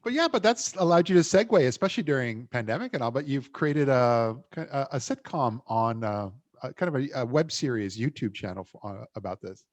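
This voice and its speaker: A man's voice: medium pitch, lively intonation, fairly quick, no echo. Man, show host, enthusiastic, lots of uh and fillers, dry sound. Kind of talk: casual interview chat.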